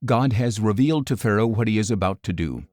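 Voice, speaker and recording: A man reading scripture aloud as a wise, humble young dream interpreter, measured and earnest. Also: fairly low pitch, animated, a little mellow, dry recording, clean studio sound, close to an everyday voice. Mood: earnest, solemn.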